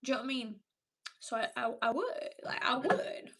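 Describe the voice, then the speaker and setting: A high, bright-voiced woman in her twenties talks casually to her viewers on a livestream. She is animated and chatty and often says the same thing several times for emphasis. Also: fairly quick, slightly rough. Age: 20s. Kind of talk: casual chat.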